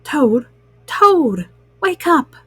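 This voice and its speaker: A woman voicing a calm, sensible male frog in a children's storybook, giving advice in a patient, reassuring way. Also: sits high, drawn-out words, dry recording, exaggerated voice. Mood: encouraging.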